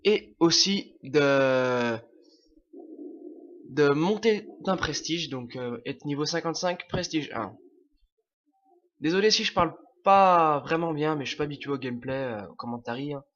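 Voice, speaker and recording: A man's voice: a little high, unhurried, a bit bright. A young man with a French accent talks through his gameplay while fighting a cold. He hesitates often, restarts his sentences and leans on fillers. The audio sounds dull and lo-fi, like a cheap home microphone. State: has a cold, sniffling. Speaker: gameplay commentator. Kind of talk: casual game commentary.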